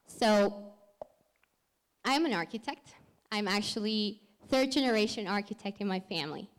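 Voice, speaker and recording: A young woman from Peru giving an earnest, explanatory startup pitch on stage as the company's founder. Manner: earnest, explanatory, occasional self-correction.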